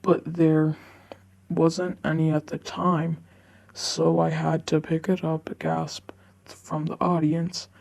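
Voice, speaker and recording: A woman reading online forum stories aloud in a halting way, often stumbling over the words. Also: low-pitched, little pitch movement, vocal fry, a little mellow. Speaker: narrator reading online stories for a video channel.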